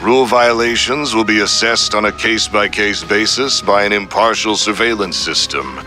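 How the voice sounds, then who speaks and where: A suave syndicate boss in a video game. The voice is deep and very forceful, with grandiose, courteous phrasing that carries calm menace over faint music. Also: male voice, normal speed. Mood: cold, official. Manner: formal, grandiose, calmly threatening. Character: suave, menacing male crime syndicate boss, coldly polite. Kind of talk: scripted villain monologue in a video game.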